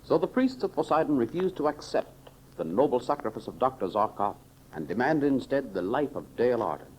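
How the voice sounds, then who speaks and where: An old, slightly noisy 1930s radio recording of a male announcer. He reads his script with dramatic emphasis, his medium-pitched voice swinging widely up and down. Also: sing-song, brisk, a little mellow. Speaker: radio serial announcer and narrator.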